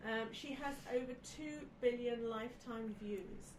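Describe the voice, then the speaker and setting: A woman's voice: a little high, unhurried. A woman, snuffly with a head cold, chatting casually as a podcast guest. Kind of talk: casual chat from notes. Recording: echoey, distant mic, clear background noise.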